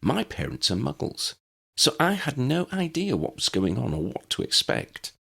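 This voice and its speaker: A man's voice: medium pitch, huge pitch swings, moderate pace, creaky, sharp tone, slightly rough, pitched above the performer's own voice, heavily acted. A man voicing an earnest, bossy, clever young witch in a storybook, with an insistent, emphatic manner. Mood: comforting. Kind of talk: storybook character acting.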